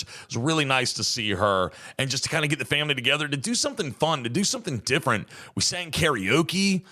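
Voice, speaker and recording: An American man with a slightly hoarse voice, hosting a podcast in a chatty, rambling, folksy monologue. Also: mid-range voice, bright, forceful tone. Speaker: podcast host. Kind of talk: casual solo monologue, podcast intro.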